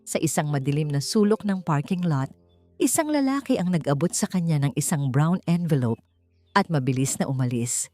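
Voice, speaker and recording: A woman reading a scripted, dramatic suspense love story in short, measured phrases. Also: deep voice, animated, mellow, no echo. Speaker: narrator of a story channel. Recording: clear music bed behind the voice. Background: background music.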